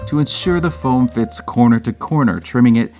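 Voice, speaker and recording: A man's voice: medium pitch, moderate pace, a little mellow, soft background music. A man hosting a DIY show, narrating a scripted tutorial in an upbeat, playful way with chatty asides. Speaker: host of a DIY creator show.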